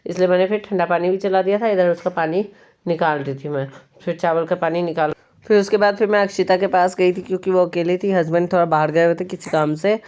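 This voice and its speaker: Chatty young woman, husky from a cold. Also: on the low side, darkish tone. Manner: chatty, homely, meandering. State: hoarse from a cold. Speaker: cooking vlogger. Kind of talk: casual cooking narration.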